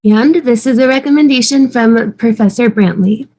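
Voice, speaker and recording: Woman as a polite, earnest, high-voiced student. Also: normal speed, dark tone, close to an everyday voice, low-quality recording. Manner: apologetic, careful, diplomatic. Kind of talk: fan table read of a TV drama.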